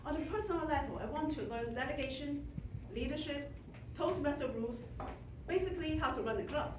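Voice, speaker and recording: A middle-aged woman with a Chinese accent delivers a prepared speech to her club, measured and gently self-deprecating. Her voice sits a little high. She is picked up from a distance in an echoey meeting room with clear room noise around her. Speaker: outgoing club president.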